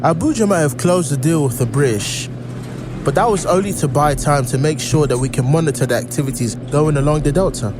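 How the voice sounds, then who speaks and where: An actor as a higher-pitched young male informant. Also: fairly quick, over music, lightly acted. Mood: knowing, serious. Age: 20s. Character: friendly male informant and government agent. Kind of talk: audio drama dialogue.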